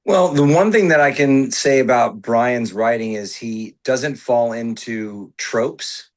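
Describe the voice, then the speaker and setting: A man, an actor, giving a thoughtful, measured interview answer. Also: medium pitch.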